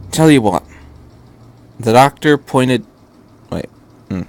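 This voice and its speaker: A man reading fan fiction aloud as an amateur, with casual rambling asides, often stammering and repeating himself. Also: mid-range voice.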